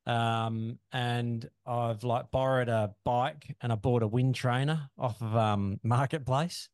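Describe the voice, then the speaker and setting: A man's voice: medium pitch, fairly even intonation, slow pace, darkish tone, no room sound, studio quality. A man co-hosting a podcast, chatting in a laid-back way with lots of fillers, often ending lines with "eh?". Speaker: podcast co-host. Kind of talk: casual chat.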